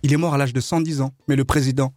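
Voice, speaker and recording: A man's voice: medium pitch, steady tone, fast talker, a little mellow, no echo, studio quality, soft background music. A man from Kinshasa who grew up in France, telling humorous anecdotes in casual conversation in a playful, jokey way. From Kinshasa, DR Congo; grew up in France. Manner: playful, jokey storyteller, often laughing.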